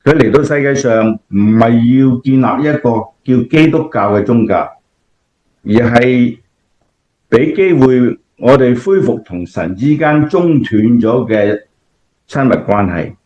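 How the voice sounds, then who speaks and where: A man's voice: medium pitch, lively intonation, measured pace, natural voice. A man with a Cantonese accent playing a kindly, devout grandfather who explains things patiently in a scripted radio drama. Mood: solemn, devout. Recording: dull, lo-fi audio.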